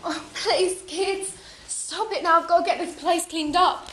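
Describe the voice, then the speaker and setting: A woman's voice: extremely high pitch, steady tone, a little slow, over music. A woman playing an anxious, downtrodden wife and mother who apologizes timidly. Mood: flustered. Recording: roomy echo.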